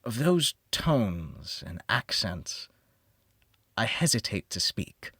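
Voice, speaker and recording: A man reads gothic horror as an audiobook narrator. His delivery is slow and solemn, with theatrical pauses and a pitch that rises and falls widely. The recording is close and dry. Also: very animated, bright, forceful tone, husky, no echo.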